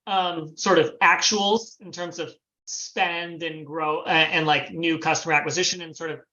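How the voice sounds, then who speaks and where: A man's voice: on the high side, fairly even intonation, pushed and bright. Man explaining finance on a dull call. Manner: measured, explanatory, frequent "um" and "sort of". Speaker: former finance planning head, guest. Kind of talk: explaining a business model on a video call. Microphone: video call.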